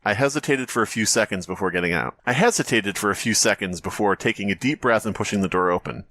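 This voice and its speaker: A man's voice: on the low side, fast talker, fairly bright tone, slight background noise. A man co-hosting a podcast, reading a horror story aloud and breaking off into sarcastic, profane banter. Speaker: podcast co-host.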